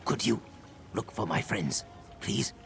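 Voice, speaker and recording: A man's voice: fairly low pitch, lively intonation, takes it slowly, gravelly, faint music behind, heavily acted. A man voicing an anxious lizard-folk refugee in hiding, in fantasy video game dialogue. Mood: worried, pleading.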